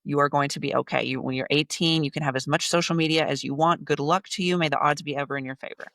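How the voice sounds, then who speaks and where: A woman's voice: low-pitched, fairly even intonation, fast, fairly bright tone, no echo. Thirties woman guest, blunt and punchy, hammers her points, close dry sound. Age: 30s. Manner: emphatic, blunt, repeats points for effect. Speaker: podcast guest, business coach. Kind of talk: casual interview talk.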